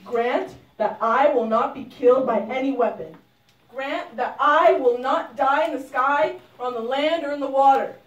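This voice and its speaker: A woman's voice: high-pitched, normal speed, highs cut off, close to an everyday voice. A woman voicing an imperious demon king in a puppet show, pleading formally in a declamatory way. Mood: demanding. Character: demon king begging a god for immortality, demanding, imperious. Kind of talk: puppet show storytelling, theatrical. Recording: roomy echo, distant microphone.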